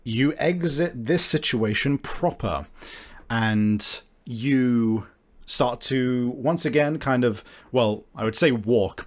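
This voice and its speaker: A man with a fairly low voice runs a tabletop game as game master. He describes scenes casually, feeling his way through phrases in an improvised, halting manner.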